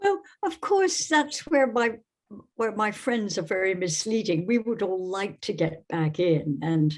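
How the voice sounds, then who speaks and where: Woman, podcast guest from Scotland. Medium pitch, thoughtful conversation, halting as she searches for words. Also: darkish tone, breathy edge.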